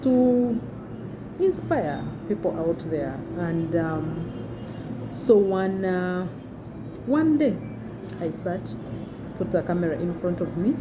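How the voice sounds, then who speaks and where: A young woman with a big, low voice speaks to her phone camera in a relaxed, chatty way, full of "you know" and "um". She tells her own story as a vlogger. A steady noise runs behind her the whole time. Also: slow, pauses often. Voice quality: big, deep voice. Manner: conversational with many fillers. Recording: noticeable background noise.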